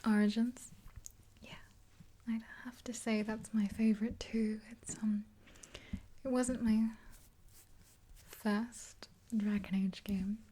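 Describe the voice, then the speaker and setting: A young woman speaks in a breathy, mellow whisper right up at the microphone. Her delivery stays even and unhurried, with small hesitations, like a soothing one-sided ASMR roleplay with a friend. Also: a little high. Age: 20s. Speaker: ASMR video creator playing a makeup artist friend. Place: small indoor room, dark backdrop. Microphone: close to the mic, facing camera.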